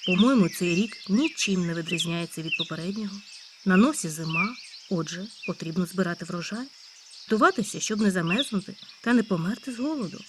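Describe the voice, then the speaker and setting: A woman voicing a calm, hardworking male hedgehog in a storybook tale, speaking matter-of-factly. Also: lowish voice, fairly quick, background noise, natural voice. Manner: calm, matter-of-fact, scolding when cross. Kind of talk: storybook character voice.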